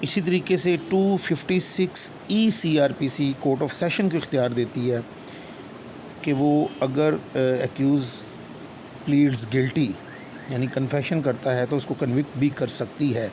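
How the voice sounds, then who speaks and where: A man with a Pakistani accent giving an explanatory law lecture, deliberate and instructional, mixing in English legal terms. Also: medium pitch, low-quality recording. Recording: clear steady background noise, hum.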